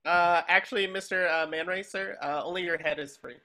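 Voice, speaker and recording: Very high, bright, squeaky male earnest, naive cartoon sponge kid hero. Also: brisk. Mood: hesitant. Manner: excitable, earnest. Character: eager, naive young cartoon sea-sponge hero fanboy. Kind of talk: cartoon table reading.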